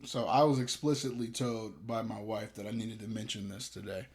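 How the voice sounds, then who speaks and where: A man's voice: fairly low pitch, fairly even intonation, normal speed, darkish tone. A young man co-hosting a podcast, talking casually in a rambling, hesitant way with self-deprecating jokes. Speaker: podcast co-host. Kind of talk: casual chat.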